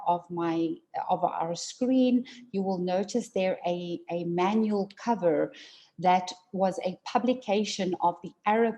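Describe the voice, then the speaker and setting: A woman from South Africa, an attorney giving a formal conference presentation in a measured way, with frequent fillers and repeated words. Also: on the low side, dark tone.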